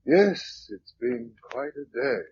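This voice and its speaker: An actor playing an earnest, uneasy, questioning hero in a radio drama. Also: male voice, medium pitch, big pitch swings, slow, darkish tone. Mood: troubled, reluctant. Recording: dull lo-fi radio recording, noisy background.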